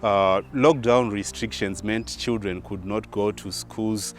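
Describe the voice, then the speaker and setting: A man with a Zimbabwean accent giving a measured, formally worded account of a project. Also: fairly low pitch, fairly even intonation. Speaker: project team member. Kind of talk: interview explanation. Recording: soft music under the voice.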